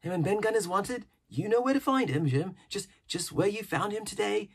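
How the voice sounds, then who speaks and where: A man voicing a sly, excitable, half-wild marooned pirate in a storybook, with emphatic, repetitive sailor's speech and a husky voice. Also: sits high, moderate pace, pitched above the performer's own voice. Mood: conspiratorial.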